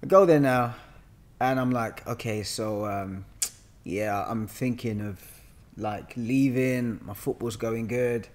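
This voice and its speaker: A man's voice: medium pitch, slow. London man telling his story casually. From London, England. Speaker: guest actor. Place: bright indoor room with sofa. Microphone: podcast microphone on boom arm.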